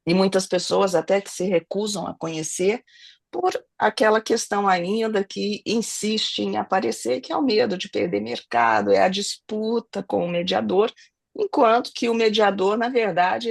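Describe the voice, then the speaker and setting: A middle-aged woman with a Brazilian Portuguese accent, hoarse from a cold, speaking earnestly as a family lawyer and mediator on an online webinar, often restarting phrases and adding tag questions. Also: mid-range voice, expressive, moderate pace, no room sound. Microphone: video call.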